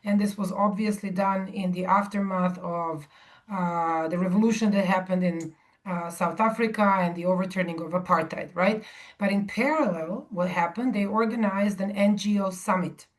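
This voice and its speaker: A woman in her forties, originally from Serbia, speaking to a live audience in a measured way with frequent pauses and restarts. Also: mid-range voice, steady tone. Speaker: guest speaker.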